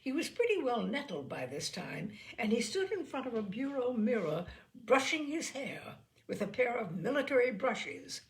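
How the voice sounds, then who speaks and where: A woman narrates a humorous short story with theatrical emphasis. Her pitch moves in a lively way across each phrase, and her voice has a creaky, gravelly edge. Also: moderate pace, rough recording.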